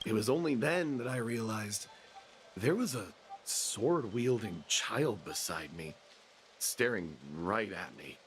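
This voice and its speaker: A man playing a dazed, bewildered soldier in a video game, stammering and halting as he recounts events. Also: on the low side, expressive, a little hiss or hum. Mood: bewildered, shaken. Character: shaken, bewildered young male soldier recovering from possession. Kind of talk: dramatic video game dialogue.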